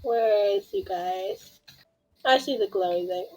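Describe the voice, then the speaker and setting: A woman's voice: mid-range voice, slow pace, dark tone, soft background music, poor audio. A young adult woman in casual gameplay chat, rambling and reacting in scattered bursts. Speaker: gaming streamer.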